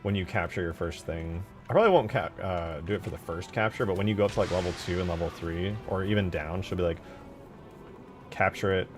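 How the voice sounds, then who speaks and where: A man's voice: deep voice, fast, hardly any pauses, faint music behind. A man streaming his game modding work, talking informally and thinking aloud. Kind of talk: casual livestream chat.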